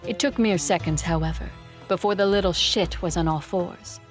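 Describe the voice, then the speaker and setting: Ancient vampire queen type, played by a woman: imperious, lowish voice, audio drama, music underneath. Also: normal speed, close to an everyday voice. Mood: cold, disdainful. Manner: imperious, disdainful. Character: haughty two-thousand-year-old female vampire conqueror. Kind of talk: audio drama narration and acting.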